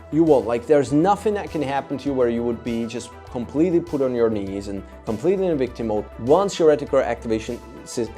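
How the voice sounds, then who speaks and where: Enthusiastic Czech-accented man, mellow voice. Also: medium pitch. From Czech Republic. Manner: energetic, enthusiastic, rambling. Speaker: psychologist and YouTuber. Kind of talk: casual talk to camera.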